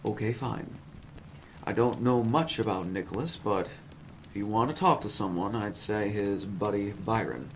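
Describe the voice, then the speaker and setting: A man's voice: on the low side, a little slow, slight background noise, poor audio. A man playing a nervous, evasive psychology professor who talks in a polished, wordy, lecturing way. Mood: defensive. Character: smooth, fussy male college professor, nervous and evasive.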